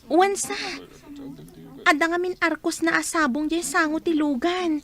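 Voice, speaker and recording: Sweet young daughter in a radio drama, super high voice, slow and playful. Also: female voice, fairly even intonation, a bit bright. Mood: eager.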